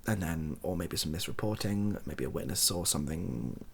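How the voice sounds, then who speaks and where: A young man speaking softly and breathily in a casual, rambling monologue, hesitant and self-deprecating as he gives his opinions. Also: low-pitched, expressive, normal speed. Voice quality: soft, husky, breathy. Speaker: online video creator giving opinions.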